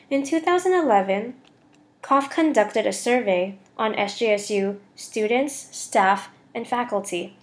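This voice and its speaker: A young woman student reading a scripted voice-over for a slide presentation. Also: on the high side. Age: young adult.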